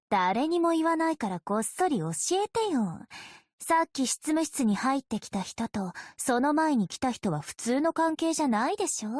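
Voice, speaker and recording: A woman's voice: on the high side, darkish tone, no room sound, clean studio sound. A woman voicing a coy, playful young female vampire in an anime-style game, with casually threatening undertones. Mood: coy, teasing. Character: teasing, sinister young female vampire, sweetly menacing. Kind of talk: game character voice lines in Japanese anime acting.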